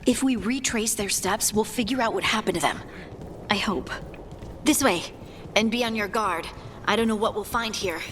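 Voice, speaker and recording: A woman's voice: medium pitch, moderate pace, fairly bright tone, a little hiss or hum, close to an everyday voice. A woman playing an earnest, resolute dwarf scout in a video game, with a cautious, reassuring manner.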